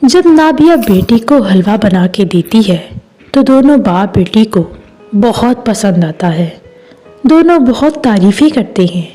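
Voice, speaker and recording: A woman's voice: medium pitch, expressive, a little slow, mellow. A woman narrating a scripted story as a storyteller. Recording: music behind the voice. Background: background music.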